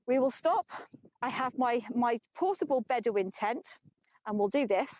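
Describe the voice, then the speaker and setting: A woman's voice: fairly high pitch, expressive, normal speed, no echo. Chatty English woman guide, dull phone sound. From England. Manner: chatty, self-mocking, laughs often. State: tired. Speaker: tour guide. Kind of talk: live-streamed walking tour. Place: outdoors on an open plateau.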